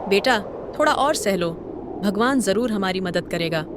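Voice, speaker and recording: A woman's voice: medium pitch, lively intonation, moderate pace, background noise, lightly acted. Woman voicing a weary poor village mother. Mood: worried.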